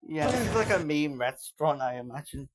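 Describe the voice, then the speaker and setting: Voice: medium pitch, lively intonation, a little fast, heavy background noise. A teenage live streamer with a British accent from Manchester, rambling casually and laughing often while reacting to videos. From England.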